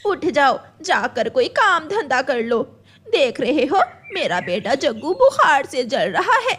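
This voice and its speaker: A woman's voice: sits high, very animated, a little slow, fairly bright tone, full character voice. A woman voicing a distressed, poor mother crow in a cartoon story, pleading and tearful for her child. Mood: angry. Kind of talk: Hindi cartoon story voice acting.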